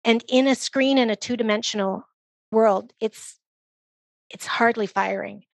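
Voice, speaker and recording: Measured woman expert, close dry sound. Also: fairly high pitch, gravelly, no room sound. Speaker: guest expert, early literacy organization leader. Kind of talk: explanatory interview answers.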